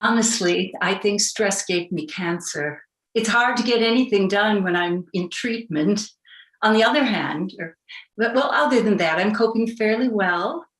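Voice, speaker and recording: A woman's voice: medium pitch, expressive, unhurried, close to an everyday voice. An actress playing a candid, plucky expatriate woman, speaking conversationally in a staged reading. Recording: echoey room sound.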